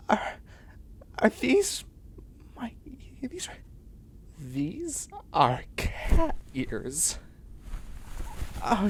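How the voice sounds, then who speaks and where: A man's voice: medium pitch, a little hiss or hum, exaggerated voice. A man playing a flustered, sardonic young man turning into a catboy in a comedic sci-fi audio roleplay, stammering with exasperation and sarcasm. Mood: alarmed, bewildered. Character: flustered, sardonic young man sprouting cat ears and tail.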